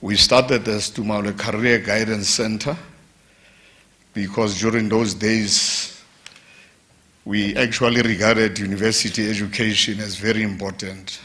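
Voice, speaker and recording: Slow, low-voiced man. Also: little pitch movement, sharp tone. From South Africa. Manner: slow, measured, with pauses and fillers. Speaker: foundation representative. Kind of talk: formal speech at an event. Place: conference hall.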